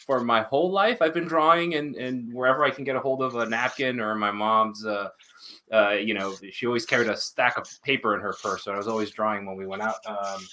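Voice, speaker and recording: A man's voice: lowish voice, brisk, bright, forceful tone. A man in his thirties, born in Colombia and raised in Miami, chatting as a panel guest over a video call with many fillers.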